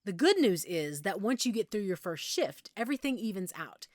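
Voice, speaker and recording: A woman's voice: mid-range voice, big pitch swings, normal speed, no echo, lightly acted. Woman playing a patient, reassuring werewolf mentor, close and dry. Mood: patient, explanatory. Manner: patient, gently explanatory, reassuring. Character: friendly, reassuring female werewolf pack member, patient mentor. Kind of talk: intimate audio roleplay, speaking directly to the listener.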